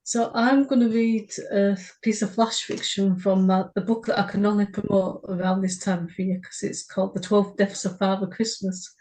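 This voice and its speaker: A woman's voice: medium pitch, level tone, normal speed, few pauses, mellow, poor audio. A woman co-hosting a writers' readaround, reading her own short fiction and chatting informally. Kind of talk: story reading and informal chat.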